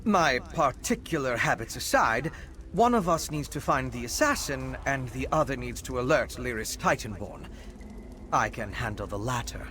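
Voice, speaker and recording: Refined, wry vampire scholar, background noise. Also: male voice, medium pitch, animated, pushed and bright. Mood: dry, decisive. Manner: articulate, measured, bookish. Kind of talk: video game character dialogue. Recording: game ambience behind the voice.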